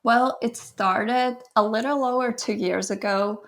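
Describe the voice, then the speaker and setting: A woman's voice: fairly high pitch, measured pace, fairly bright tone. A woman in her twenties from Latvia, a guest photographer telling her story in a modest, laughing way. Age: 20s. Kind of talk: casual interview chat.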